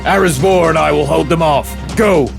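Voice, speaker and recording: Man voicing an urgent commanding dragon ally, pitched up. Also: normal speed, gravelly, over music.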